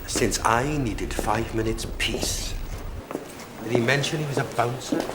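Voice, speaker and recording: A man's voice: mid-range voice, measured pace, heavy background noise, close to an everyday voice. A man in a British TV drama working as court security, dry and wry.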